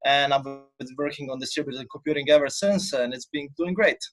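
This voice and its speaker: A man's voice: medium pitch, brisk, a bit bright, faint music behind. A man from Croatia in his twenties, a PhD student, explaining his research step by step in an interview.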